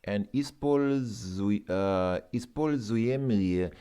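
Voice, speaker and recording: Very deep-voiced man, halting, thinking aloud. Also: warm tone, smooth. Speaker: language learner presenting a Russian lesson. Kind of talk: informal lesson, translating and thinking aloud.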